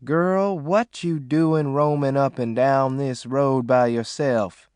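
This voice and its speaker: A man voicing a gruff, bossy lawman, scolding bluntly. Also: medium pitch, steady tone, slow pace, a little mellow, dry recording, lightly acted.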